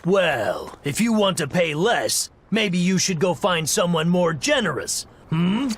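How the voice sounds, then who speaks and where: A man's voice: sits high, sing-song, a little slow, fairly bright tone, husky, heavily acted. A man voicing a haggling merchant in a video game, over faint background ambience. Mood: smug.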